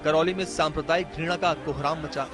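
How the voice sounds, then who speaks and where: A man's voice: a little high, steady tone, a little fast. A man reading a scripted, dramatic news voice-over. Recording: loud music under the voice. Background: music.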